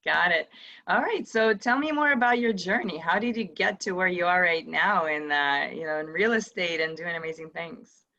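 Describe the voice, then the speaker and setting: A woman hosting an interview, asking questions and answering with short encouraging words. Her voice is lowish but bright and sharp, and her audio comes through dull and lo-fi. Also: normal speed. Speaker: interviewer, host.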